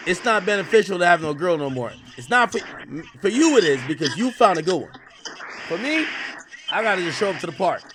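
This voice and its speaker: A man in his thirties hosting a casual livestream chat, animated and talking over his guest, often repeating himself. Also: high voice, a little fast, background noise. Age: 30s. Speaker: streamer host.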